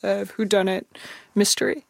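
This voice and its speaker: Gravelly-voiced interviewer in a dry studio. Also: mid-range voice, flat delivery, no room sound. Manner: measured, reads quotes aloud, curious questions. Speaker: interviewer host. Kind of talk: radio interview.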